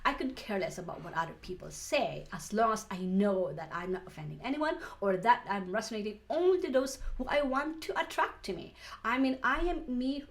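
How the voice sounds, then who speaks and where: A woman from the Philippines with a Filipino accent, teaching an online course lesson in an earnest way, with frequent restarts and soft laughs. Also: fairly high pitch, animated, fairly quick. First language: Filipino language. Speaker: online business coach.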